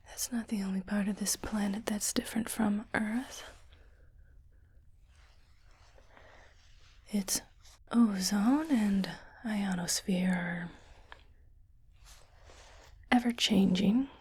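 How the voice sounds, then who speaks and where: Slow, whispering female doctor, acted by a woman. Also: medium pitch, slightly rough. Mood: soothing explanation. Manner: slow, soothing, hushed delivery. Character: calm, reassuring female doctor on an alien-planet expedition. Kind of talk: whispered ASMR roleplay.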